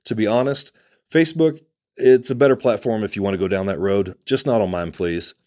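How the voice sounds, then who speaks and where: A man from Texas with a Texan accent, hosting a casual talk to camera, conversational and unhurried, with fillers and restarts. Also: lowish voice, warm tone. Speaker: host.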